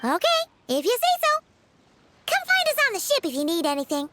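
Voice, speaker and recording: A tiny floating fairy creature with a piercingly high, sing-song voice. She chirps and exclaims in a childlike, bubbly way. Game music plays clearly behind her. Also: wildly swooping pitch, brisk, heavily acted. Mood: chirpy. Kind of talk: video game voice acting.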